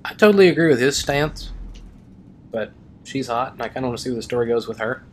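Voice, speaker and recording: A man's voice: mid-range voice, lively intonation, fast talker, a little hiss or hum. A man giving casual let's play commentary as a gaming video host, rambling and wry.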